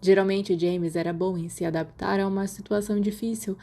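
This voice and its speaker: A woman's voice: mid-range voice, steady tone, fairly quick, warm tone. A woman with a Brazilian accent reading scripted fiction as an audiobook narrator. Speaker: podfic narrator.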